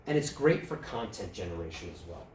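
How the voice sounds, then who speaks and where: A man's voice: sits low, a little fast. New York man teaching a workshop in a roomy hall. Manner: relaxed, questions the audience. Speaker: workshop presenter. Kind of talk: conversational speaking workshop. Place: club meeting room. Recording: roomy, distant mic, faint room noise.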